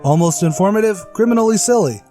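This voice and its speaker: A man's voice: high voice, lively intonation, quick pace, warm tone. A man hosting a radio drama podcast, reading a scripted outro like an old radio announcer. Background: music.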